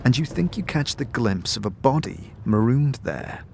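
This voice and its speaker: A man's voice: medium pitch, lively intonation, normal speed, creaky, darkish tone, a little hiss or hum. A man narrating a fantasy audio drama as game master, with dramatic, descriptive storytelling. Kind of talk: scripted second-person fantasy narration. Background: music.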